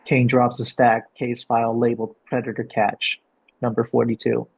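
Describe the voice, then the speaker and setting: A man working as a director, explaining and reading out a script, with a gravelly, husky voice. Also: mid-range voice, completely flat delivery, moderate pace. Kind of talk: explanatory coaching and script narration. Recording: dull, lo-fi sound.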